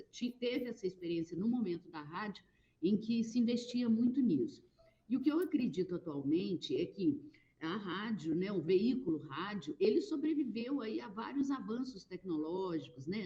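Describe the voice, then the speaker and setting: Mellow Brazilian woman on a dull line. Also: medium pitch. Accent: Brazilian Portuguese. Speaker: guest, radio station worker. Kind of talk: conversational interview answer.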